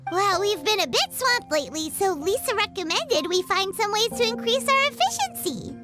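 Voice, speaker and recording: A woman voicing a tiny, excitable childlike fairy companion in a video game cutscene, exclamatory and easily flustered. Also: very high voice, moderate pace. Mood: eager. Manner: speaks of self in third person, exclamatory. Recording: background music under the voice.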